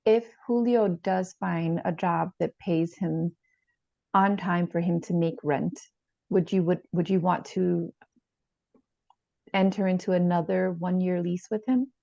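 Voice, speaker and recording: Creaky, mellow, calm therapist, acted by a woman. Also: fairly low pitch, dry recording. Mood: calm, inquiring. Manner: calm, measured, tentative questioning. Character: calm, probing female therapist. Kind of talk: dramatized therapy session, measured questioning. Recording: dull lo-fi sound.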